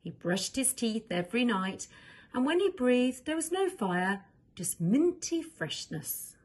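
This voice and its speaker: A woman narrating a children's storybook as a reader, with expressive delivery. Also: a little high, measured pace.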